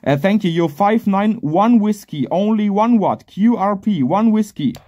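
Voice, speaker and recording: A man's voice: sits high, expressive, a little slow, dark tone. A man working amateur radio contest exchanges outdoors, repeating short clipped phrases and callsigns in phonetics. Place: outdoors at a garden picnic table.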